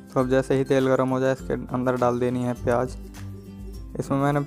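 A man narrates a home cooking tutorial in a plain, instructional way. His mid-pitched voice stays on a very flat, unvarying line as he explains each step. Faint music plays behind him. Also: fairly quick. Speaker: home cook presenting a recipe.

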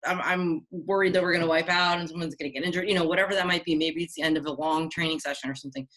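Young adult woman, slightly low voice, casual and encouraging, video-call sound. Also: fairly even intonation, rapid-fire, fairly bright tone, rough recording. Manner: upbeat, chatty, encouraging. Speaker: Olympic sailor answering youth questions. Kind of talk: casual online Q&A.

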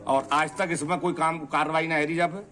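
A man's voice: a little high, fairly even intonation, fairly quick, sharp tone, faint music behind. A man making a colloquial, repetitive complaint to camera as a local councillor.

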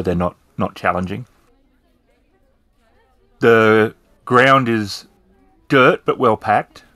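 A man's voice: on the low side, fairly bright tone, no echo. A man improvising descriptive narration as the storyteller of a tabletop roleplaying game. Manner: descriptive, explanatory.

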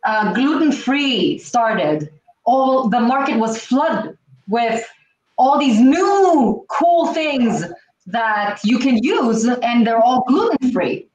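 A woman's voice: on the high side, expressive, takes it slowly, a little mellow, muffled recording. Distant woman. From Israel. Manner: emphatic, repeats key points. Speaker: cooking show host. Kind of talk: casual chat on a video call. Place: home kitchen, indoors, standing back from the camera. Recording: echoey kitchen, distant mic, faint hiss.

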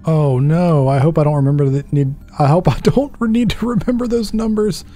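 A man's voice: a little high, a little fast, warm tone, soft background music. A man in his thirties giving casual let's play commentary, thinking aloud in a jittery, self-deprecating way. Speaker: gaming video host.

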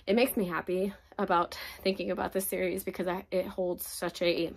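A woman's voice: on the low side, level tone, moderate pace, vocal fry. A young woman, chatting casually about books she loves, her voice cracking as she recovers from being sick. She talks in a gushing way, with lots of fillers. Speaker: book reviewer. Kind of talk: casual book recommendation vlog. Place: home room with bookshelves, indoors.

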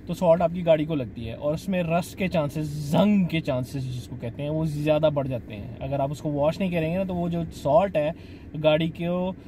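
Dad vlogger from Pakistan, middle-aged, talkative and explanatory, voice a bit high, street noise behind him. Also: male voice, dark tone. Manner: chatty, explains slowly, says "janab" often. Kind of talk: casual vlog narration. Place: outdoors at a car wash and in a car.

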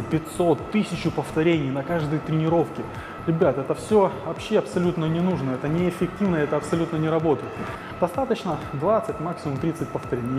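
A man in his thirties, a fitness trainer, explaining ab exercises in a gym on a lapel mic, pausing with fillers. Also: fairly high pitch, noisy background. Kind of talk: gym workout tutorial. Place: large indoor gym. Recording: echoey gym with music behind.

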